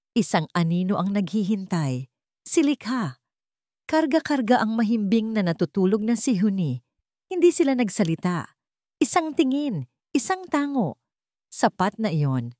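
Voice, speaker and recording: Low-voiced woman narrating a dramatic story. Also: darkish tone, dry recording, studio quality.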